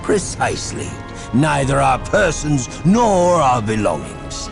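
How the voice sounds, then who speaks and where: An actor playing a solemn, zealous priest of a sci-fi holy order in a video game, with grandiose ceremonial diction and a gravelly voice. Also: male voice, medium pitch, very animated, slow pace, exaggerated voice. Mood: grave, proud. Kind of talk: theatrical video game voice acting. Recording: music behind the voice. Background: game music.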